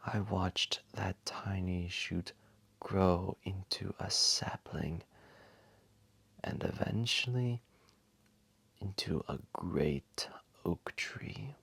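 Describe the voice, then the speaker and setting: A man voicing an ancient, massive dragon, wise, gentle and remorseful, telling a solemn story to one listener in a gravelly, breathy half-whisper. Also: sits low, dry recording, full character voice. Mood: tender, wistful. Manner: solemn, deliberate, tender. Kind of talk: slow dramatic storytelling to a single listener.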